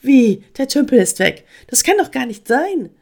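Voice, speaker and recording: A woman playing a startled, earnest female squirrel in a children's story, expressive and exclaiming. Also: high-pitched, normal speed, pitched above the performer's own voice, exaggerated voice. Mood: disbelieving.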